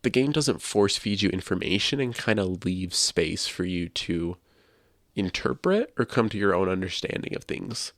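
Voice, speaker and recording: Deep guy on a podcast, bit of fry, casual opinion talk, super dry sound. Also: lively intonation, moderate pace, a bit bright, no room sound. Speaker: podcast host.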